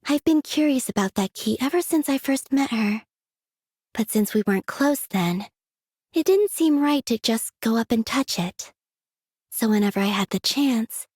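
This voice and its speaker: An actress voicing a gentle, aloof young woman in a video game, with a soft breathy voice and unhurried, wistful, quietly curious delivery. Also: sits high, dry recording, studio quality, exaggerated voice. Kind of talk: scripted game character voice lines.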